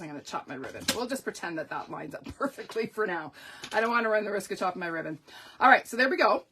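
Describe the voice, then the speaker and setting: A woman hosting a live papercraft tutorial, talking through each step and greeting viewers by name, her voice going a little croaky. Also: medium pitch, sharp tone. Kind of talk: live-stream craft demonstration.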